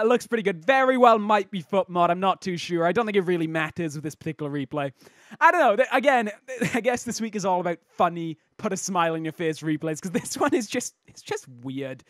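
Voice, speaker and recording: A man with a slightly croaky voice hosting casual, jokey video game commentary in a self-interrupting way. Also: high-pitched, no echo, clean studio sound. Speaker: host of a game replay showcase.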